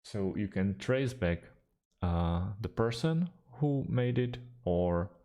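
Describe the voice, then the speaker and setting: A man whose first language is Slovak, calmly walking through a software tutorial with frequent fillers. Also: on the low side. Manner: unhurried, hesitant with fillers, slightly non-native phrasing. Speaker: tutorial host. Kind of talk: screen-recorded software tutorial.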